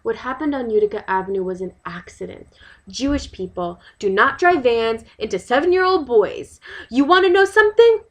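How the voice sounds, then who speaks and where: A woman's voice: medium pitch, darkish tone, lightly acted. Woman acting an indignant, worried neighborhood mother. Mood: outraged. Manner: emphatic, rhetorical questions, exasperated asides. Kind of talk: dramatic monologue, testimonial to a listener.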